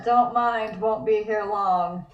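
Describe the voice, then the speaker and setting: A man's voice: high voice, steady tone, slow. A man voicing a no-nonsense, dryly cutting 1920s woman in a table read. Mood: curt. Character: sharp-tongued, no-nonsense 1920s dame. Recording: dull, roomy, distant microphone.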